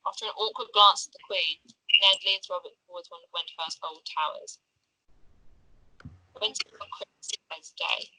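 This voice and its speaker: Very bright-voiced woman reading stage directions. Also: mid-range voice, normal speed, pauses often, dry recording, poor audio. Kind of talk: scripted narration at a table read.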